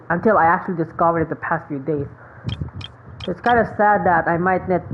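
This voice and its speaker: A woman streamer with a lowish voice chats casually over her game, rambling and thinking aloud. The sound is thin and phone-like, with some noise. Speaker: gaming streamer. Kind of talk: casual gameplay commentary. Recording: thin phone-like mic, noisy.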